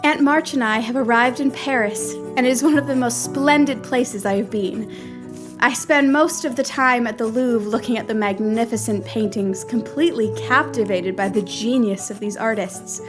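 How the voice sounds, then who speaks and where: A woman playing an eager, girlish and artistic young lady in a period audio drama, with expressive delivery. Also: on the high side, moderate pace, music in the background, close to an everyday voice. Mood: wistful wonder. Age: young adult.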